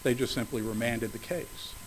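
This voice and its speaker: A man arguing as attorney for a city in an appellate court, speaking in measured, formal legal phrasing, slightly hoarse. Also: mid-range voice, low-quality recording. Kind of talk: appellate oral argument.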